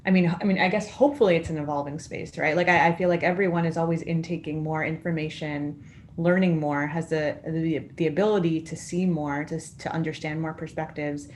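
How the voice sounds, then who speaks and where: A woman with a low voice speaks as a guest in a casual, thoughtful discussion. She qualifies her ideas as she forms them, over faint background ambience. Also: steady tone, fairly quick, darkish tone, a little hiss or hum. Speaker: producer and dramaturg, guest panelist. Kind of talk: casual thoughtful panel conversation.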